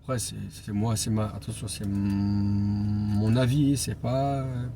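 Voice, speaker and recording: A man preaching an informal Bible teaching as an evangelist, speaking deliberately and pausing on key phrases for emphasis. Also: fairly low pitch, level tone, mellow. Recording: clear background noise and ambience.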